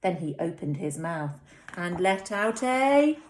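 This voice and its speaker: A woman with an English accent, a teacher speaking and reading to young children in an expressive, dramatic storytelling way. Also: on the low side, takes it slowly, heavy background noise. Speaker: infant school teacher. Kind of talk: reading a picture book aloud to young children.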